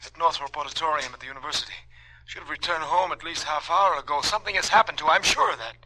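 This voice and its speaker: Man acting anxious, fast-talking father. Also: fairly high pitch, natural voice. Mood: insistent, distressed. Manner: worried, pleading, stammering. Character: anxious, insistent father of a missing daughter. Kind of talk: 1950s radio drama acting. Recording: noisy vintage radio recording.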